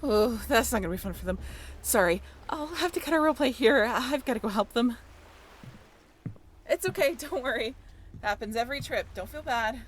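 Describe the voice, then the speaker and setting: A woman's voice: fairly high pitch, expressive, normal speed, slight background noise. A woman voice actor speaking casually and informally in an audio roleplay, with a reassuring manner.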